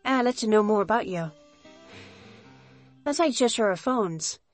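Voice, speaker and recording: A woman voicing a sweet, friendly schoolgirl chess partner in an anime visual novel, gentle and encouraging. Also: mid-range voice, expressive, unhurried, faint music behind, lightly acted. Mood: affectionate.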